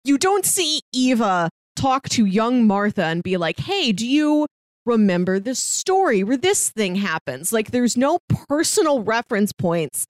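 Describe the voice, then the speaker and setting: A woman co-hosting a podcast, casually discussing a TV series in an animated, opinionated way. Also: on the high side, unhurried, no echo, studio quality. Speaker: podcast co-host.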